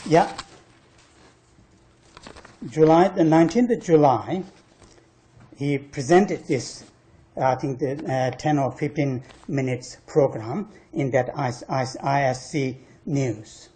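A man who is not a native English speaker, arguing his case on a panel in an emphatic, halting way, repeating words for stress. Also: mid-range voice, warm tone. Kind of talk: argumentative panel talk. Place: university panel discussion room.

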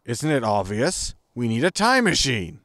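An actor playing a grumpy, exasperated spaceship captain in a sci-fi comedy audio drama, blunt and sardonic. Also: male voice, medium pitch, sing-song, a little slow, fairly bright tone, no room sound, clean studio sound. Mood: impatient. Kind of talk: science fiction comedy audio drama acting.

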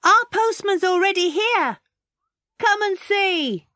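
A woman voicing an eager young village child in a children's storybook, with a bright, excited manner. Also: very high voice, slow pace, no echo, clean studio sound, heavily acted.

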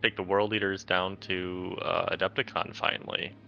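A man with a very deep, gravelly voice chats haltingly as a tabletop game player. The sound is dull and lo-fi with some noise behind it. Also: flat delivery, pushed and bright, soft background music. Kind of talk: casual chat. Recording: dull lo-fi mic, background noise.

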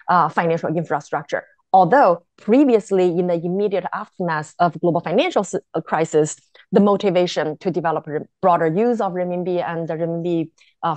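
A woman in her thirties from China with a Chinese accent, explaining as an expert panelist in an academic webinar, halting and stressing key words. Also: fairly low pitch, darkish tone, no room sound. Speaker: foreign policy expert, panelist. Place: small room at home or office, indoors, on a video call. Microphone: wired earphone headset on video call.

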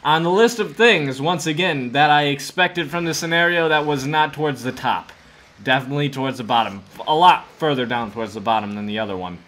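A man's voice: a little high, a little fast, fairly bright tone. A man in his twenties giving casual, jokey gaming commentary as a host, narrating a roleplay adventure with mock drama. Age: 20s.

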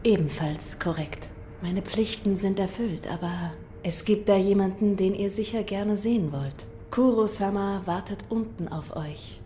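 A woman's voice: medium pitch, a little slow, warm tone, natural voice. Woman as dutiful feudal physician, composed, reserved, formal, audio drama, prominent music.

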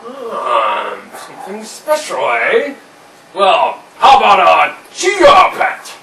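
A man's voice: sits high, wildly swooping pitch, slow, bright, forceful tone, distant mic, full character voice. Man doing a jolly salesman pig. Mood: salesy. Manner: salesman patter, chuckling. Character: jolly, pushy pig shopkeeper. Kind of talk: amateur family puppet show. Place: indoor room with a puppet stage. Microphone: far camera. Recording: roomy noisy home video from afar.

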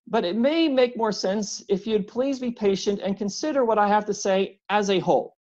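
Storybook figure: narrator's take on a huge man with a timid, apologetic manner, pitched up, muffled recording. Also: normal speed, a little mellow, close to an everyday voice. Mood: polite pleading. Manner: apologetic, courteous, pleading. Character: big polite anxious man, professor.

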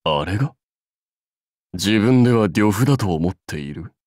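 A man's voice: on the low side, expressive, normal speed, a little mellow, no room sound, clean studio sound, natural voice. A man voicing a proud, seasoned samurai warlord in a mobile game, speaking in a dignified, archaic lordly manner. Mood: measured, bemused. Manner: stately, archaic lordly speech. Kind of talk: Japanese mobile game character voice lines.

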